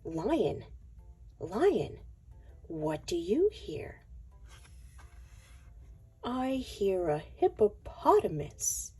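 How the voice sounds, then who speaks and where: A woman reading a picture book to young children in an expressive, sing-song way, often dropping toward a whisper. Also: mid-range voice, drawn-out words, long pauses, slightly rough, soft background music.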